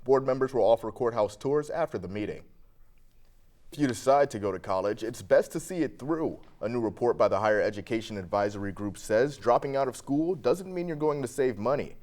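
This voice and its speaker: A man's voice: mid-range voice, lively intonation, brisk, a little mellow. An American man reading scripted local news as an anchor.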